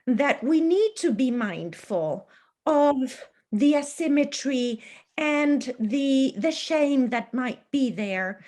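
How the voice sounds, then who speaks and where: A woman offering a careful, deliberate personal reflection as a participant in a group discussion. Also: high voice, lively intonation, darkish tone. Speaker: book group participant, food pantry volunteer. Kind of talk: measured personal anecdote and reflection.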